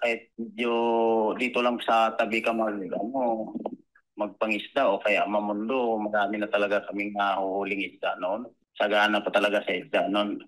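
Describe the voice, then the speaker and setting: Bright-voiced Filipino man, halting and polite. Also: lowish voice, low-quality recording. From Philippines. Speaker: commercial fisherman, interview guest. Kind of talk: conversational interview answers.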